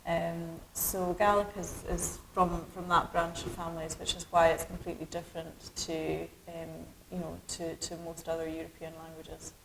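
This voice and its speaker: Scottish woman, low voice, thoughtful. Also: little pitch movement, normal speed, vocal fry, hardly any pauses, a bit bright, slight background noise, poor audio. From Scotland. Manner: thoughtful, many ums and restarts. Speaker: documentary director. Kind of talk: interview answers.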